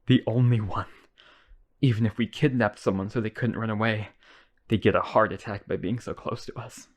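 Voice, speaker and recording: Casting: male actor as devoted yandere vampire, low register, earnest coaxing delivery, intimate roleplay audio. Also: steady tone, moderate pace. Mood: pleading devotion. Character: lonely, obsessive vampire brother, pleading devotion. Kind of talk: boyfriend ASMR roleplay audio drama.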